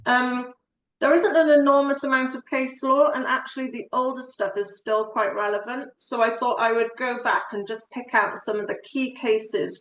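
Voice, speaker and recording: A woman in her forties from South Wales with a Welsh accent, a solicitor giving a relaxed, chatty presentation on an online call. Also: on the high side, echoey room, a little hiss or hum, poor audio.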